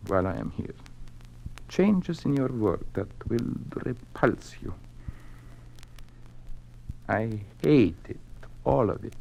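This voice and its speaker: Gravelly, formal Russian official. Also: male voice, medium pitch, warm tone, exaggerated voice. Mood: anguished, earnest. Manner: formal, measured, confiding speech. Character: earnest, cultured Russian communist museum official, secretly troubled. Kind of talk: mid-century radio drama acting. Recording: noisy old radio drama recording.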